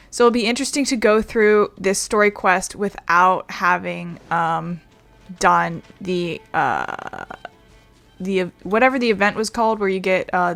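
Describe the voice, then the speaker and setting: A woman's voice: medium pitch, fairly bright tone. A woman game streamer giving casual, rambling, chatty commentary as she plays. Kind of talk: casual let's play commentary.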